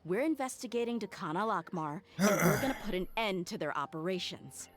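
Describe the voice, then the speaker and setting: A woman's voice: mid-range voice, expressive, a little fast, faint music behind, lightly acted. A woman playing a tough, confident female mercenary in a video game, blunt and commanding. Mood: confident, wry.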